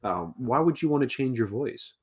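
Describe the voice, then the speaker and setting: A man's voice: mid-range voice, animated, moderate pace, darkish tone, breathy edge. A man co-hosting a podcast who asks easygoing follow-up questions and often answers with short agreements. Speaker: podcast co-host asking questions. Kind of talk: casual podcast interview.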